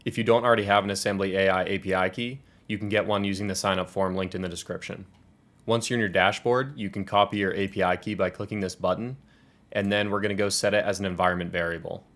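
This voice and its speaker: A man in his twenties with a low voice and an American accent walks through a programming tutorial. He explains each step in order, in a clear instructional style. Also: steady tone, fast, a bit bright. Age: 20s. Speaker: tutorial presenter. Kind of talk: scripted software tutorial walkthrough.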